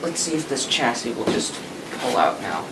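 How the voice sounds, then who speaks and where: A man's voice: mid-range voice, big pitch swings, normal speed. A man talking casually while he restores an old radio, thinking aloud and making chatty asides as he works. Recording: noisy workshop recording.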